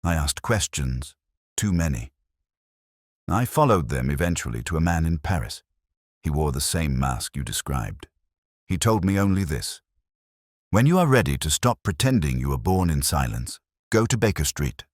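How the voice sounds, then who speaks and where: A man's voice: rumbling low, sing-song, moderate pace, no room sound, studio quality, full character voice. A man voicing a guarded, troubled young woman in an audiobook, hesitant and confessional. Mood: quiet, confessing. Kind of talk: audiobook character dialogue.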